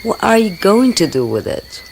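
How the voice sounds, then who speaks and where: A woman's voice: mid-range voice, vocal fry, slight background noise, poor audio. An actress playing a teasing, blunt Brazilian woman with a Brazilian Portuguese accent who slips Portuguese words into her talk, in a radio play. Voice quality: lively, drawn-out delivery with Portuguese words mixed in. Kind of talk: radio drama acting. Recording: radio play with night insect sounds.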